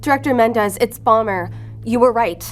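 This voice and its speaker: A woman's voice: on the high side, moderate pace, soft background music, lightly acted. Actress as a driven, intense female investigator. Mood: urgent. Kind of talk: audio fiction acting.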